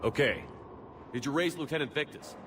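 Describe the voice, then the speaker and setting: A man's voice: fairly high pitch, animated, normal speed, background noise, close to an everyday voice. A man playing a tough, commanding space marine in a video game, giving clipped, decisive military orders. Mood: focused, stern. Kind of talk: video game cutscene acting.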